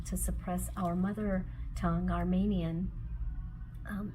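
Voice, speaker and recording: Russian-native woman speaker, mid-pitched and unhurried, reflective, faint background hiss. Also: mellow, low-quality recording. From former Soviet Union. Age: adult with children. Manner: measured, phrase by phrase with pauses. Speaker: author and speaker. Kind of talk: reflective personal talk.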